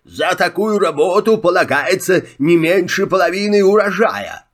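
A hefty hippo, as a man puts him on booms out short, blunt demands. He is stubborn and bargains hard. A heavy, gruff figure from a children's filmstrip. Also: expressive, normal speed. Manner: booming and businesslike.

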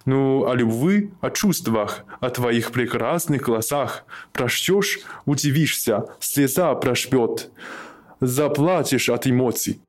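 A man plays a young schoolteacher suitor in a radio play. He is touchy and self-important, defending himself and boasting in a middle-pitched voice. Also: expressive, slow. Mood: eager, boastful.

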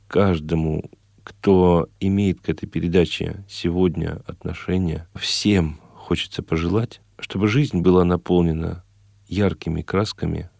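Low, gravelly middle-aged man. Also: a little mellow, no room sound, clean studio sound. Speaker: interview guest, singer. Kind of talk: conversational interview answers. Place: radio studio.

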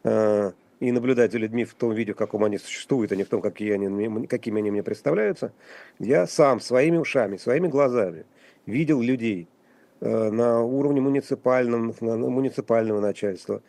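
A man speaking as a guest commentator on a radio talk show, halting and wry, with frequent restarts and fillers. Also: mid-range voice, creaky, warm tone, no echo. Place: radio studio.

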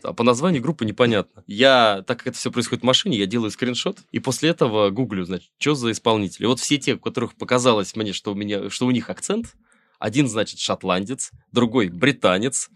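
A man's voice: mid-range voice, fast talker, fairly bright tone, dry recording. A middle-aged Russian man, a musician guest in a casual interview, talking wryly in rambling sentences with many fillers and restarts.

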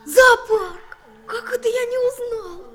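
A woman's voice: very high voice, slow, fairly bright tone, full character voice. An actress playing a curious, naive, wide-eyed little boy in a children's audio play. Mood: amazed. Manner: questioning, naive, exclaiming.

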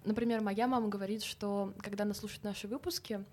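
A woman's voice: mid-range voice, fairly even intonation, fast, a little mellow. A young woman co-hosting a podcast in eager, casual chat. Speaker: podcast co-host.

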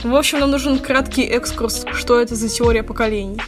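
A young woman in her twenties co-hosts a casual podcast and tells stories in a loose, slangy way. Her voice sits fairly high. Music plays clearly behind her. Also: steady tone, fairly quick. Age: 20s. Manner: chatty storytelling with slang. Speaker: podcast co-host. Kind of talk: casual chat.

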